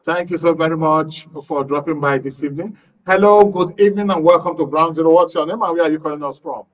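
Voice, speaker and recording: Male phone-in radio host, Nigerian accent, slightly high voice, muffled broadcast sound. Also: fast. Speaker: radio talk show host. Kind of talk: live phone-in hosting. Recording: dull, distant broadcast sound.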